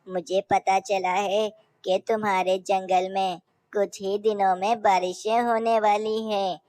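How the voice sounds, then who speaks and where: A woman voicing an older, concerned mother crow in a children's cartoon, with a measured manner. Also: mid-range voice, flat delivery, darkish tone, clean tone, no echo, natural voice. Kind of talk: Hindi animated children's story dialogue.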